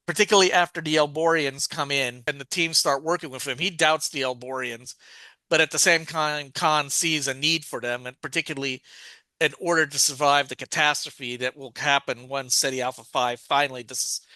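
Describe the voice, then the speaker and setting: A man with a very bright, forceful voice that stays crisp and resonant, talking casually as a podcast co-host. He walks through a story's plot step by step, correcting himself as he remembers details. The sound is close and dry. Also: fairly high pitch, fairly even intonation, normal speed, dry recording, low-quality recording. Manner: recaps plot at length, thinking aloud with restarts.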